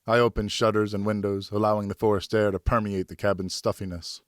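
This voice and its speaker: A man narrating a historical novel in the first person, with expressive, deliberate storytelling. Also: on the low side, dry recording, studio quality. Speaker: audiobook narrator, professional voice actor.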